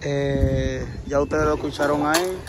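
A man's voice: a little high, little pitch movement, measured pace, loud music behind the voice. A man interviewing on the street, addressing viewers directly and repeating himself, recorded outdoors.

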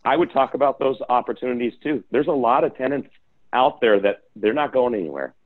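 A man's voice: mid-range voice, expressive, brisk. A man, an investor and developer, talking through his views in a video-call panel discussion, restarting his sentences as he goes. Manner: conversational, restarts mid-sentence. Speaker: real estate investor and developer guest. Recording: phone-like video call audio.

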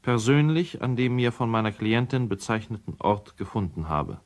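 Earnest, mid-pitched actor as male lawyer. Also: lively intonation, normal speed, lightly acted. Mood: earnest, urgent. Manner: formal, precise, earnest. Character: earnest male lawyer writing an urgent anonymous letter. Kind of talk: radio play monologue.

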